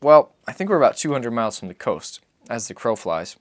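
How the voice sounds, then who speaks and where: A man reading as a weary, patient father who gives terse, measured answers in an audiobook. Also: medium pitch, big pitch swings, no echo, close to an everyday voice. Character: weary, patient father, guarded survivor answering his son.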